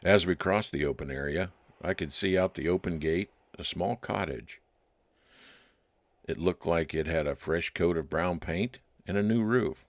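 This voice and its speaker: A man playing a wry, nostalgic private detective, narrating his case deliberately in old time radio style. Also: sits low, dry recording. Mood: reflective. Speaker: narrator and lead actor.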